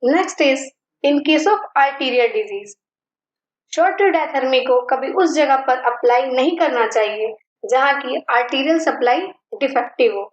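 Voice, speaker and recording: A woman teaching physiotherapy, slowly listing points and mixing Hindi with terms. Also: sits high, expressive, roomy sound, lo-fi sound. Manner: mixes Hindi and English terms, list-like delivery. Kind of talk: slow, deliberate lecture reading.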